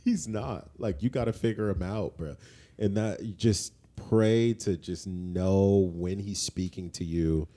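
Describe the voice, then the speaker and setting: A man's voice: low voice, unhurried, mellow. A man talking casually as a podcast co-host, informal and slangy, often repeating phrases for emphasis. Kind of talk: casual conversational talk.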